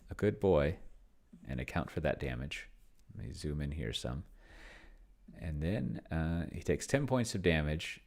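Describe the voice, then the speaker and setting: Deep, creaky-voiced man thinking aloud. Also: a little mellow. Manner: muttering to himself, slowing as he dictates while typing. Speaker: solo tabletop gamer and video host. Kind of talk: casual think-aloud game commentary. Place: indoors at a computer, keyboard clicks behind.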